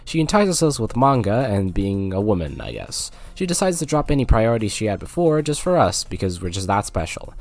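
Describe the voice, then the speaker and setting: A low-pitched male voice with very animated intonation delivers a scripted game review. He is jokey and slips in sarcastic, playful asides as he narrates. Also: a little fast, hardly any pauses.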